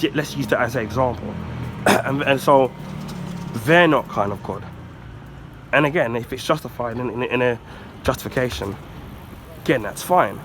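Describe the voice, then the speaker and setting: A man from the UK with a husky, congested voice from the flu, giving a casual rambling rant with many ums and throat clearing. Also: medium pitch, background noise. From United Kingdom. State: husky, recovering from flu, blocked nose. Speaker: YouTuber.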